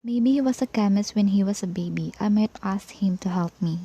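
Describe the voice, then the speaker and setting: A woman's voice: medium pitch, fairly quick, dark tone, breathy edge. A woman playing a dry-witted student sister in an amateur radio play. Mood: teasing. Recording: dull lo-fi dry recording.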